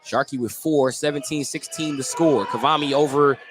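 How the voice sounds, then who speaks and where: A man's voice: mid-range voice, moderate pace, music in the background. A man doing live basketball play-by-play on the radio, calling the action in short, clipped phrases. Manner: clipped running call of the action. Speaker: play-by-play commentator. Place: indoor gym during a game. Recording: noisy live sports venue broadcast.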